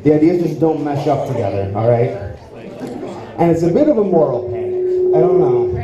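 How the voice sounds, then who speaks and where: A man's voice: on the high side, big pitch swings, a little fast, muffled recording, a crowd in the background. A young man doing a rambling, self-mocking stand-up comedy set on a small club stage, speaking into a handheld mic. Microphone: handheld mic, heard from the audience. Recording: echoey club with audience noise. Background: audience.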